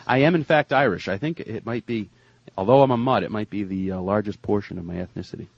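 Husky male sports talk host, dry sound. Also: lowish voice, a little fast, no echo, lo-fi sound. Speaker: sports radio show host. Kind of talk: sports talk, reading out emails.

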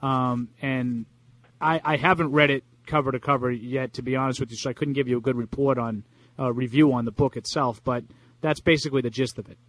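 A man's voice: mid-range voice, steady tone, a little fast, a bit bright, no room sound, poor audio. A man with a Boston accent co-hosting a sports talk radio show, rambling through his points with restarts and asides. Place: radio studio.

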